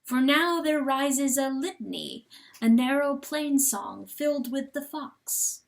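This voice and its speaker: A woman giving a dramatic, intense and deliberate storybook narration. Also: high voice, lively intonation, creaky, low-quality recording. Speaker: audiobook narrator.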